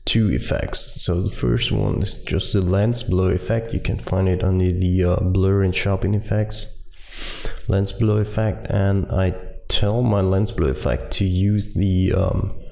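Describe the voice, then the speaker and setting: A man with a German accent, a German native speaker, explaining a software tutorial step by step with many ums and uhs. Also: low-pitched, fairly even intonation, unhurried, vocal fry. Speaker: tutorial host.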